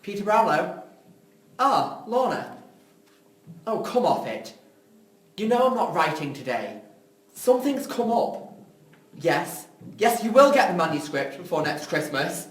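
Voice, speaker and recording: A man's voice: high-pitched, big pitch swings, measured pace, long pauses. A man playing a prickly, sarcastic, condescending writer brother in an audio drama reading. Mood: exasperated. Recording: echoey room, distant microphone.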